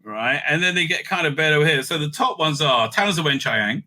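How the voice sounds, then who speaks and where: A man's voice: a little high, lively intonation, brisk, pushed and bright, a little hiss or hum. A man hosting a casual, off-the-cuff ranking video, rambling and interrupting himself as he thinks aloud. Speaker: video host ranking TV stories.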